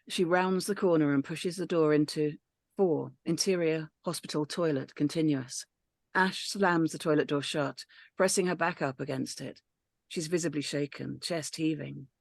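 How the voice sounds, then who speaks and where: A woman reading stage directions in a script read-through, steady and matter-of-fact. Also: low voice, creaky, no echo, studio quality.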